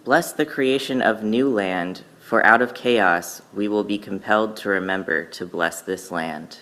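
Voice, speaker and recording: A man reciting poetry at a worship service in a solemn, measured, incantatory way. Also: on the low side, bright, forceful tone.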